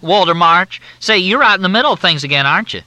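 A man's voice: high-pitched, animated, fast talker, bright, forceful tone, dry recording, voice pushed up, heavily acted, poor audio. A man voicing a courteous Southern army major with a thick drawl that makes everything sound like a question. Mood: folksy, chatty.

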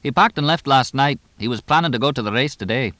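A man voicing a fast-talking English horse trainer in an audiobook, drily blunt, chatty and deadpan in a clipped way. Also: mid-range voice, dry recording, pitched above the performer's own voice. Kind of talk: audiobook character dialogue.

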